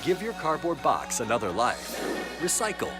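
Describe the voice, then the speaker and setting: A man's voice: fairly high pitch, huge pitch swings, moderate pace. A man delivering a scripted public service announcement as an ad announcer. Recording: loud music behind the voice.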